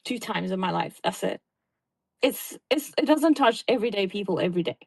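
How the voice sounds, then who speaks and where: A woman's voice: medium pitch, expressive, fairly quick, gravelly, a little mellow, breathy edge, no echo. A woman with a South African accent in casual chat, earnestly explaining things at length. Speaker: guest.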